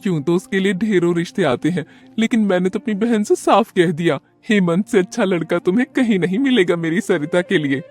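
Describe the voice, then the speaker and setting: A fond old grandmother from a storybook cartoon, played by a woman, keen on arranging matches. She speaks with insistent affection, pitched up from plain narration, over music. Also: a little fast, hardly any pauses, a little mellow, lightly acted. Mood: affectionate, coaxing. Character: kindly elderly grandmother, persuasive busybody matchmaker.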